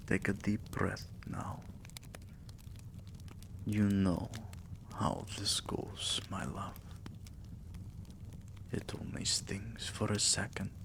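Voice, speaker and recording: A man playing a tender, possessive vampire king in an intimate ASMR roleplay, murmuring soothingly and often whispering, with a deep, gravelly voice. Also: background noise, heavily acted. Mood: hungry, tender.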